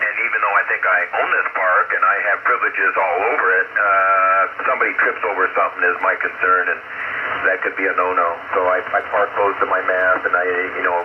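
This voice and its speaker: Flat-voiced man over tinny ham radio. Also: low voice, fast talker. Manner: chatty, plainspoken, drifting between thoughts. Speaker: amateur radio operator. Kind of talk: casual radio chat. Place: outdoors at a hilltop park, over ham radio. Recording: tinny, static-laden radio signal.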